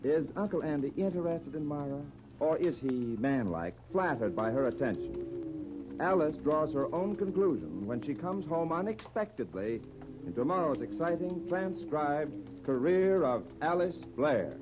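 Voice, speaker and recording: A man's voice: on the high side, very animated, measured pace. An American man announcing a radio serial and its sponsor in scripted 1930s style, on a muffled, noisy old recording.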